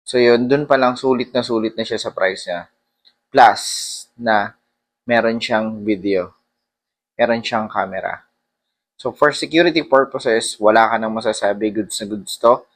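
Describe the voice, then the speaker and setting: A man's voice: on the low side, unhurried, long pauses. A man giving a casual vlog review, talking informally and rambling with hesitations. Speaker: motorcycle vlogger. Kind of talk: casual vlog product review, mixing Tagalog and English.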